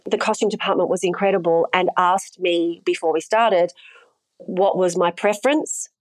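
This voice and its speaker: A woman's voice: on the low side, fairly even intonation, a little slow, long pauses, no echo, rough recording. A woman, an intimacy coordinator, talking through her work frankly in a casual interview and laughing easily.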